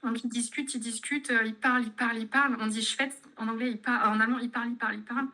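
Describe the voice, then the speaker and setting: A young woman from France, a physiotherapist chatting in an interview and telling lively anecdotes. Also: on the high side, racing. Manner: chatty, tells anecdotes with laughs. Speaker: guest, physiotherapist. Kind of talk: casual interview chat.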